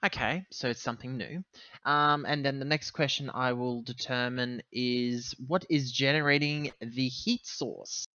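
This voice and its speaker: A man's voice: medium pitch, steady tone, unhurried, sharp tone, no echo, dull audio. A man chatting casually as a player in a tabletop game, talking through his moves in a wordy, roundabout way.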